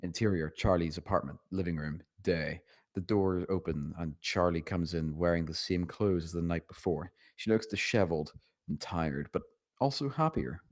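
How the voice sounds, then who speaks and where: Deep male voice, screenplay narration, stage directions read aloud. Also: moderate pace.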